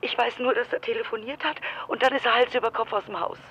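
A woman's voice: a little high, fairly even intonation, fast talker. A woman acting in a German radio drama as an anxious, fed-up ex-wife, agitated and accusing over a telephone. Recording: noisy, band-limited telephone line.

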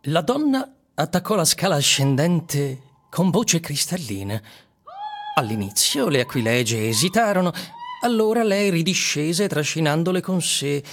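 An actor narrating a literary radio drama as an expressive, theatrical storyteller. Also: male voice, fairly high pitch, a little slow, slight background noise. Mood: vivid narration. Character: male storyteller describing surreal singing plants.

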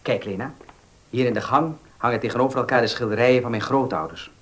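Actor as husky, earnest young farmer. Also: male voice, medium pitch, quick pace, natural voice. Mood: eager, courting. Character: earnest young horse-loving farmer, proud, short of money. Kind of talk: Dutch radio play acting.

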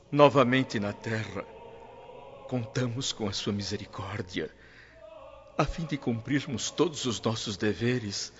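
An actor playing a humble, repentant male spirit who prays in a reverent, pleading radio soap style. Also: fairly low pitch. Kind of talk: radio soap opera acting. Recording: dull, muffled lo-fi audio.

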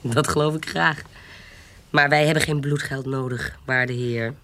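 An actress playing a shrewd, defiant adventuress in an audio period drama, guarded, cool and commanding. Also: female voice, a bass voice, fairly even intonation, measured pace, a bit bright, full character voice. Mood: wary. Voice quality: husky. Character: shrewd, defiant adventuress, forger and courtesan posing as noble. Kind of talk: audio drama acting in Dutch period piece.

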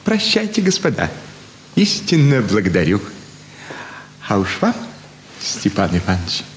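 A man's voice: on the low side, measured pace, a little hiss or hum. An actor playing a fawning elderly provincial landowner and doting father, effusive and sentimental in a classic stage radio play. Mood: courteous. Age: older. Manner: effusive, sentimental, flattering with many sighs. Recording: roomy stage hall with faint ambience.

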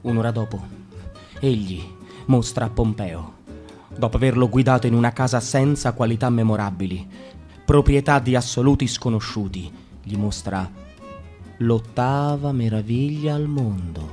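Uomo, lettura teatrale con chitarra. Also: male voice, medium pitch, moderate pace, soft background music. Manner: dramatic, shifting between calm and agitated. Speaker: radio drama reader. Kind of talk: theatrical literary reading.

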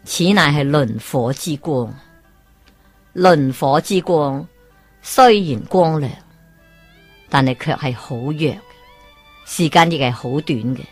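Woman doing a wise old sage, measured, solemn, slightly lower, storybook. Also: lively intonation, husky, soft background music, full character voice. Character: calm, wise old male geomancer.

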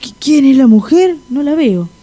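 A by-the-book police sergeant in a radio comedy. Her voice is high yet soft-edged and mellow, her manner formal and procedural. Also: expressive, moderate pace. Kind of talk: comic radio drama acting.

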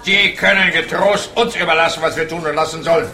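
A man playing a ponderous, pedantic and rather slow-witted police officer in a radio play. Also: on the high side, fairly even intonation, pushed and bright, echoey room. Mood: pompous, pedantic. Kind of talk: German-language radio play acting.

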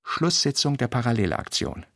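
A man narrating a literary radio drama in measured, clipped, note-like phrases. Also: mid-range voice, very animated, a bit bright, dry recording, clean studio sound.

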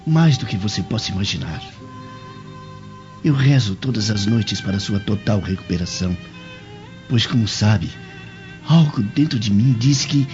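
A lovestruck young roman noble, earnest, big swoops in a mellow voice, old-style radio play. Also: male voice, medium pitch. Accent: Brazilian. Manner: declamatory, earnest. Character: ardent, determined young Roman nobleman in love. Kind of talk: radio drama acting. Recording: dull lo-fi audio, faint music.

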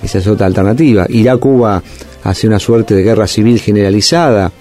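A man's voice: fairly low pitch, fast, dark tone, faint music behind. A man, a guest analyst in a radio interview, talking thoughtfully with many fillers and hedges.

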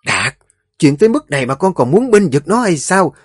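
A tyrannical old lady of the house barks orders in a high, piercing voice, as a male narrator puts her on. Her pitch leaps and swoops as she scolds. The sound is close and dry, over faint music. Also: big pitch swings, a little fast, no room sound. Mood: scolding. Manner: shrill commanding scolding. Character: stern harsh old mistress of household, woman.

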